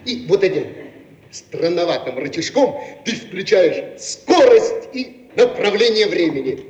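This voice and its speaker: An actor playing an excitable, idealistic inventor with impassioned, grandiloquent delivery in a radio play. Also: male voice, high voice, measured pace, distant mic, dull audio. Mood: enthralled. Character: excitable idealistic male inventor of a time machine. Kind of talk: Soviet radio play acting. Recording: echoey archive radio recording, off-mic.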